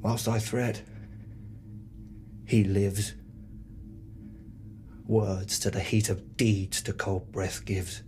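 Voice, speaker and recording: A man playing a tormented, murderous nobleman in a brooding, deliberate, theatrical Shakespearean soliloquy. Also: lowish voice, little pitch movement, a little mellow. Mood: grim resolve. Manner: brooding, deliberate, dramatic delivery.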